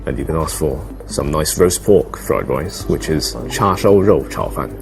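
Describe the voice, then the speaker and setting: A man acting as an easygoing language tutor, encouraging and gently teasing in casual conversation. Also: very deep voice, animated, unhurried, full character voice. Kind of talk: acted casual teaching conversation. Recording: clear music behind the voice.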